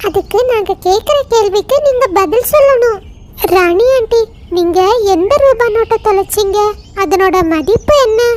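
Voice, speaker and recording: Very high little girl bird, woman acting, polite but firm, asking questions, cartoon. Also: normal speed, a little mellow. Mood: polite, curious. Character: earnest young girl bird, honest elder sister. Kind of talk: children's cartoon story acting.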